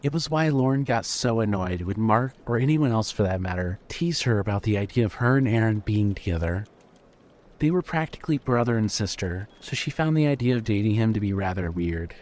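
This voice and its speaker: Low-voiced male story narrator. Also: lively intonation, brisk, darkish tone. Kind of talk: scripted fiction narration.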